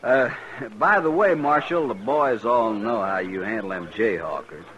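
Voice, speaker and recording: An actor playing a gruff, fair-minded Texas cattle drover with a folksy in a 1950s radio western. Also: male voice, on the low side, expressive, measured pace, a bit bright, dull audio. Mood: friendly, wry. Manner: plain, folksy. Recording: noisy old radio recording.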